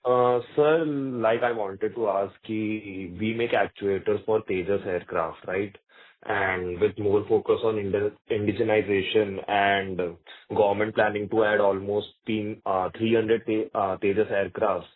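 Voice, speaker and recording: A man with an Indian accent phones in as an analyst to put questions. His voice sits fairly low, with informal, deferential wording. The line is narrow and phone-like. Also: steady tone, unhurried. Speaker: investment analyst asking questions.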